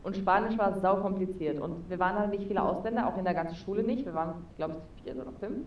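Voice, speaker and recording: A woman's voice: medium pitch, quick pace, roomy sound, far from the mic, muffled recording, slight background noise. A young woman chatting as an interview guest over a video call with a headset, talking casually with lots of fillers. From Spain. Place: home room with neon sign, indoors.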